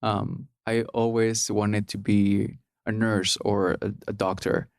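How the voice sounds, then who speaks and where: Low gravelly man, hesitant. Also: flat delivery, slow pace, dry recording, clean studio sound. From Peru. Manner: hesitant, many ums and restarts. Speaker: guest. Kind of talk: casual interview.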